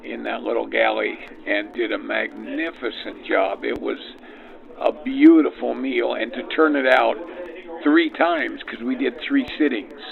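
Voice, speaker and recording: A man with a heavily creaky, gravelly voice explains things in measured phrases with pauses. The sound is thin and band-limited, like a 1940s radio broadcast. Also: mid-range voice. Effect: vintage 1940s radio filter. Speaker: private railcar host, interview guest. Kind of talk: unscripted explanatory interview talk. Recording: tinny narrow-band old radio sound.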